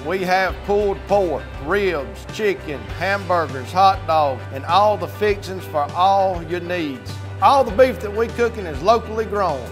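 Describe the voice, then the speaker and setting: Guy doing his own store ad, high voice, music under it. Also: animated, a little slow, hardly any pauses. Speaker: business owner advertising his market. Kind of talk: local commercial pitch.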